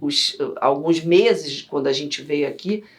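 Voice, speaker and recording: A middle-aged woman from Yugoslavia, chatting as an interview guest and telling stories in an animated way. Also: low voice, slow. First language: Serbian. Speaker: guest, vocal coach. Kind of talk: casual interview chat.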